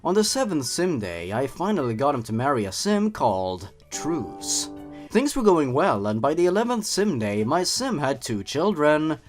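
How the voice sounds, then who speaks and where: A man's voice: a little high, very animated, normal speed. A young man narrating and commenting on an online horror story, expressively and with playful, wry asides. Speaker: YouTube host and narrator. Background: background music.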